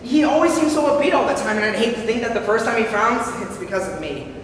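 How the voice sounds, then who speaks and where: A man acting an excitable dreamer, echoey hall. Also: extremely high pitch, fast. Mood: anxious, disbelieving. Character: earnest, hopeful, anxious dreamer longing for a house. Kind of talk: amateur stage play acting. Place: indoor hall, recorded from far off. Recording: very echoey hall, distant mic, faint noise.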